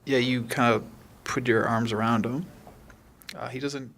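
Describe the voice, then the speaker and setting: A man's voice: medium pitch, fairly even intonation, a little slow, fairly bright tone. A man acting as game master, improvising casual tabletop narration and searching for words as he goes.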